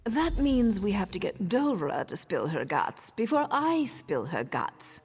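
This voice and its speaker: A woman's voice: medium pitch, big pitch swings, a little slow, darkish tone, breathy edge, in a large hall, full character voice. A woman playing a sardonic, impatient assassin in a video game, with a wry, threatening delivery. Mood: wry menace. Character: sardonic, impatient female assassin, deadly and businesslike. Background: game music.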